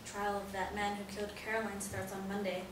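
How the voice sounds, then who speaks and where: A woman's voice: medium pitch, monotone, quick pace, natural voice. An actress in a staged play reading playing a cheerful, protective mother of a grown son, recorded in an echoey room. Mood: concerned. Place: echoey indoor room, far from mic. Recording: very echoey room, distant mic, faint noise.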